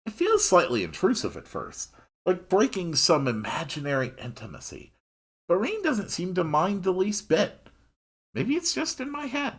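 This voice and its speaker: A man's voice: mid-range voice, slightly rough. A man reading a story aloud expressively, dropping in wry, casual asides of his own. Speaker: host reading a visual novel aloud.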